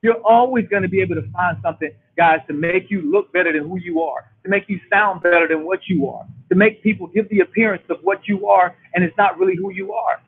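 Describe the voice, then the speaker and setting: A man in his late forties delivers a motivational talk, hammering his points home by repeating them. His voice sits high and sounds husky. It comes through a thin, tinny phone line. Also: a little fast, few pauses. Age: 40s. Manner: preachy, emphatic and repetitive. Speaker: show host.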